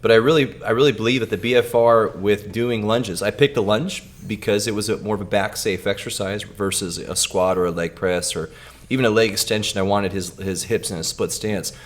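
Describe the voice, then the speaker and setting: A man co-hosting a podcast, telling a patient story in a halting way with many repeated words. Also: fairly low pitch, steady tone. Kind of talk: casual clinical storytelling.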